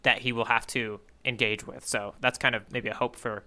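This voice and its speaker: A man's voice: medium pitch, fairly even intonation, moderate pace, sharp tone. A man co-hosting a podcast in casual chat, musing aloud and hedging with "like" and "you know". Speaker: podcast co-host reading the manga for the first time.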